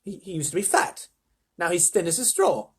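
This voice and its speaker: A storyteller voicing an astonished, excitable old grandfather with stammering exclamations in a storybook reading. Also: mid-range voice, moderate pace, husky, pitched above the performer's own voice.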